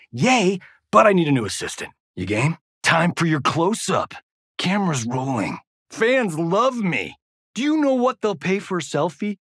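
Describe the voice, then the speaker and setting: A man's voice: on the high side, fairly bright tone, husky, dry recording, studio quality, heavily acted. An actor playing a cocky, wisecracking male Hollywood action star in a fighting video game, with a smug, flippant, showboating delivery. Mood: boastful.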